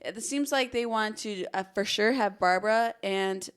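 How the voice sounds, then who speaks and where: A woman's voice: mid-range voice, normal speed, clean tone. Woman podcast host talking thru a crime case, casual, says like a lot. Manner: casual, frequent "like" as hedging. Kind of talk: conversational true-crime storytelling.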